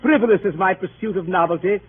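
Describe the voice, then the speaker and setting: An actor playing a dignified, earnest older gentleman with stately, oratorical delivery in 1930s radio style. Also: sits high, fast, phone-quality sound, natural voice. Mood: earnest, heartfelt. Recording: thin noisy 1930s radio broadcast.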